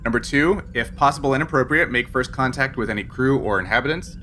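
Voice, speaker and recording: Halting man game master, bright voice. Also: medium pitch, soft background music. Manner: halting, explanatory, checking with players. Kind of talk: casual game narration to players. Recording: noticeable background noise.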